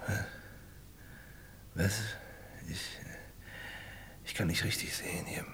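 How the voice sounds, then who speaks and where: An actor playing a weak, evasive injured hospital patient in a German radio crime play, hesitant and stammering, with a breathy, gravelly voice. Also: male voice, slow, a bit bright. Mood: evasive, faltering. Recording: vintage radio play with faint rumbling noise.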